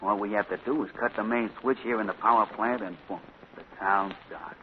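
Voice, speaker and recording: A man's voice: fairly low pitch, fairly quick, lightly acted. An actor playing a surly, shifty male crook who poses as a prophet, using tough slangy street talk, in 1940s radio acting. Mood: smug. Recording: dull scratchy 1940s radio broadcast.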